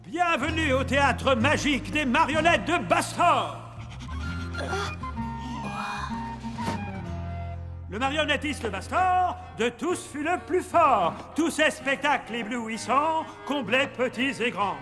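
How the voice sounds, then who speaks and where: A man voicing a grand puppet showman in an animated film dub, with ceremonious, theatrical announcing. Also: very high-pitched, a little slow, few pauses, soft background music, full character voice. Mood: grand, proclaiming.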